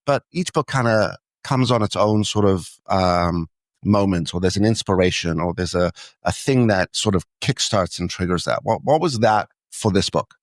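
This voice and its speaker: A man's voice: low-pitched, lively intonation, normal speed, dry recording. A man with a South African accent hosting a podcast interview, chatty and loose, with many fillers and restarts. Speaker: podcast host. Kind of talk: casual interview chat.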